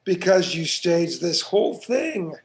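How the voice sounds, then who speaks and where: A man performs a hard-nosed, scornful detective in a crime drama interrogation. His voice sits high for a man and he speaks slowly, leaning into each accusation with sarcasm. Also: sing-song, gravelly, exaggerated voice. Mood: interrogating. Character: hard, scornful, bullying male police detective. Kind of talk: dramatic screenplay table read.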